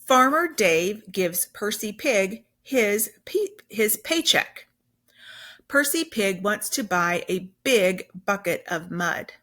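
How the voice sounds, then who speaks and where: Slow, lively woman reading to children. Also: mid-range voice. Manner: emphatic, simple explaining for children. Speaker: teacher. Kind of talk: children's story read-aloud with explanations.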